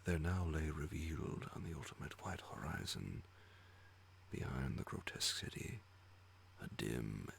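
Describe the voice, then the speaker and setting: A man reading a horror story as an ASMR bedtime narration, in a breathy, hushed whisper with deliberate phrasing. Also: very deep voice. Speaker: audiobook reader of horror stories.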